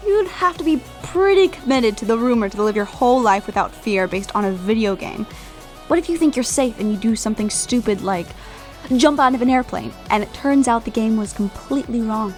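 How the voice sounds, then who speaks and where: A woman's voice: on the high side, lively intonation, fairly quick, faint music behind, lightly acted. An actress playing a sensible teenage girl, incredulous and sardonic in audio drama dialogue. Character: skeptical, sensible teenage girl best friend.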